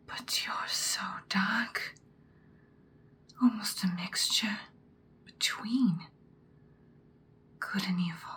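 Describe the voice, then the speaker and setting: A woman voicing an imperious, seductive ancient vampire in a breathy, dramatic whispered ASMR roleplay. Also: mid-range voice, a bit bright. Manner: slow, deliberate, dramatic. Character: ancient female vampire, seductive, imperious, menacing yet protective.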